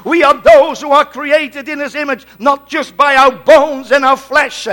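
Dutch guy preaching, accent and all, highish sharp voice, lots of exclaiming, uhs and repeated words. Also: fairly even intonation, unhurried. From Netherlands. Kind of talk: Pentecostal-style sermon with congregational call-outs.

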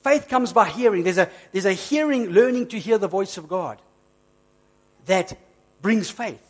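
A man preaching a sermon, emphatic and repetitive, slowing down on key phrases, a bit hoarse from flu. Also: high-pitched, expressive. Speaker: pastor.

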